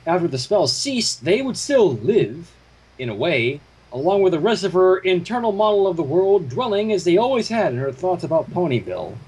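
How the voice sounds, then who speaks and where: A man with a high, slightly rough voice narrates a story in a very animated way. He trips over words now and then and drops out of the reading to make joking asides. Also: moderate pace, poor audio. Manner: animated, stumbles and breaks off to comment. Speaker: fan fiction reader and narrator.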